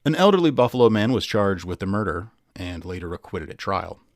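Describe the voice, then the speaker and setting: A man's voice: sits low, sing-song, brisk, no echo, studio quality. A man reading a scripted true-crime narration as a podcast narrator.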